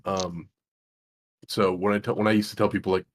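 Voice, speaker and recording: Young man with a deep voice. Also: little pitch movement, a little fast. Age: young adult. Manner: laid-back, laughs often, swears casually. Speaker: interviewer. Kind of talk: casual online chat.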